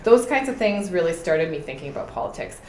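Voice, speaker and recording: Low-voiced passionate woman in an echoey room. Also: sing-song, quick pace, a little mellow. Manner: passionate, emphatic. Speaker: political candidate. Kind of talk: interview answers. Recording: echoey room with faint noise.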